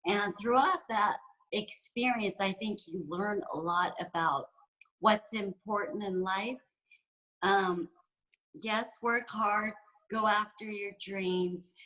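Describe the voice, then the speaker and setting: Woman, 40s, from San Francisco; bright, forward voice; candid, reflective interview guest, loose and unscripted. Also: medium pitch, slow pace, low-quality recording. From San Francisco, USA. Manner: candid and reflective, with frequent fillers. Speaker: guest executive and entrepreneur. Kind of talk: conversational interview.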